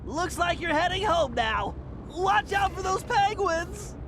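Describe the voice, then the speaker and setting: An actor playing a nerdy, excitable little strategist in a video game, giving urgent, precise orders to his team. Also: very high-pitched, unhurried, very noisy. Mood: encouraging, directing. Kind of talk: animated video game cutscene acting. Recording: loud music behind the voice.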